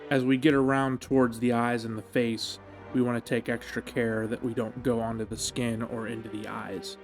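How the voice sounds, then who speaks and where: A man giving a measured, explanatory voice-over as the host of a hobby tutorial. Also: mid-range voice, level tone. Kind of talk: instructional voice-over narration. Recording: music behind the voice. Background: background music.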